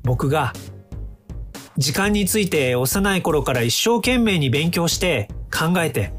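An actor in an audio drama playing an earnest, polite young time-traveling inventor who explains things courteously. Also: male voice, high voice. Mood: impassioned, earnest.

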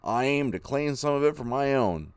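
A man's voice: mid-range voice, expressive, normal speed, smooth, no echo, voice pushed up, natural voice. A man doing an eager, firm pioneer father. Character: determined young pioneer father, set on heading west. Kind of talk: storybook reading.

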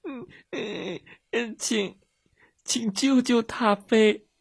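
A storyteller voicing an anxious, excitable little girl in a children's story. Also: medium pitch, slow, no echo, studio quality, pitched above the performer's own voice. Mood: tearful pleading. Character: two anxious, excitable little girls out dog-walking.

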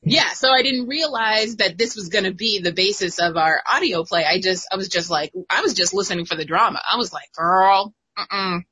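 A woman in her thirties tells stories in a casual chat, laughing often and bouncing between thoughts. Her voice is bright and forward, with a husky, slightly breathy edge. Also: medium pitch, normal speed, hardly any pauses, rough recording. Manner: giggly, animated storyteller. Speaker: guest writer.

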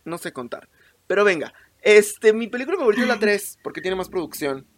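Animated young Mexican woman bantering on a podcast. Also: medium pitch, fairly quick. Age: young adult. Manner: animated, teasing, digressive, slangy. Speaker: podcast co-host. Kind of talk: casual bantering chat with a friend.

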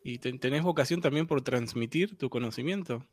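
A man's voice: medium pitch, fairly quick, slightly rough, dry recording. Chatty male podcast host. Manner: loose, chatty, with many filler words. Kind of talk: casual podcast interview.

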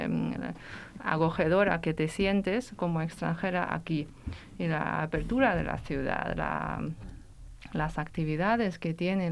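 Hesitant woman guest with a smooth voice. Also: lowish voice. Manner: hesitant, many restarts and fillers. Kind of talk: interview answer, personal story.

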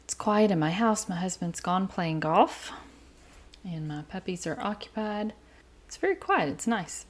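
A middle-aged woman with an East Texas accent, hosting her podcast from a quiet home in an earnest, chatty way. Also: mid-range voice, expressive. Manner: chatty, earnest, talks faster as she warms up. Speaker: podcast host. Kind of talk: casual solo podcast talk.

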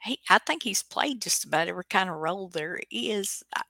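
A woman's voice: mid-range voice, expressive, brisk, gravelly, pushed and bright, no echo, studio quality. A middle-aged or older American woman hosting a radio show in a casual, rambling, chatty way. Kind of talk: casual chat.

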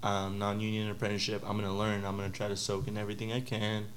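A young man with a low-set voice chats in a loose, rambling way, full of fillers and restarts. A faint ambient hum sits behind him. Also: completely flat delivery, fast. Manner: laid-back, rambling with many fillers. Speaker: podcast guest. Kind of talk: casual chat.

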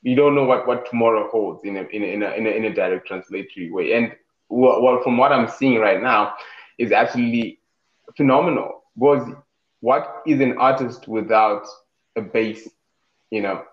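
A man's voice: on the low side, moderate pace. Guy interviewing, lots of mm-hmms, echoey muffled room. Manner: encouraging, frequent affirmations. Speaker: interview host. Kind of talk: casual interview chat. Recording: echoey room, dull lo-fi sound.

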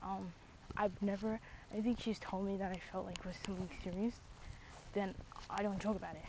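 A woman's voice: medium pitch, little pitch movement, brisk. A young woman chatting casually on a stream, rambling with lots of fillers and slang like bro and dude. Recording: dull lo-fi mic with clear background noise.

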